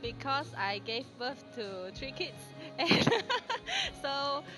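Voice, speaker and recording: Malaysian-accented local woman, unhurried interview answers, music under. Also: sits high, big pitch swings. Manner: laughing, unhurried, with pauses. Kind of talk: casual street interview.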